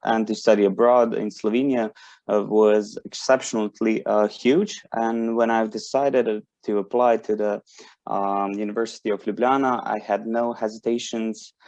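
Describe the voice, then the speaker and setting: A man's voice: on the low side, fairly even intonation, moderate pace, a little mellow, dry recording. A man in his twenties from Bosnia, a university student speaking informally to prospective students, hesitant, with many fillers and restarts. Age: 20s. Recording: dry, dull lo-fi sound.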